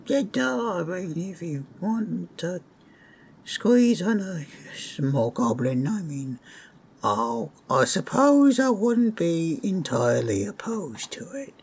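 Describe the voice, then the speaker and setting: A man voicing a small, awkward, shyly affectionate goblin in a fantasy roleplay, hedging and rambling with a raspy voice. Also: high-pitched, big pitch swings, takes it slowly, exaggerated voice. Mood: sheepish. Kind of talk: fantasy roleplay audio acting.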